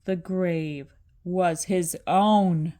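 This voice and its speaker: An older woman, a grandmother, reading a children's story aloud in a deliberate narrating style. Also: lowish voice, fairly even intonation, dark tone, slight background noise.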